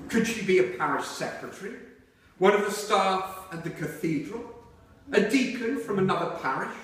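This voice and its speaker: Man, fairly high voice, live book reading, measured delivery, heavily reverberant room, distant mic. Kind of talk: live reading aloud of a novel. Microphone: distant microphone. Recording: very echoey hall, far from microphone.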